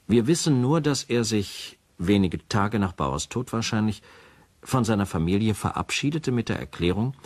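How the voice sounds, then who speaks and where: A sober case-file narrator in a radio drama. The voice is deep and slightly rough, factual and formal, recorded very dry. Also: male voice, no echo, full character voice.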